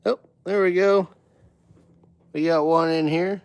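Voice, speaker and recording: A man's voice: high voice, fairly even intonation, slow, clean tone, dry recording. A man, co-hosting a livestream, greeting viewers cheerfully and teasing his partner. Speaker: livestream co-host. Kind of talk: casual livestream chat.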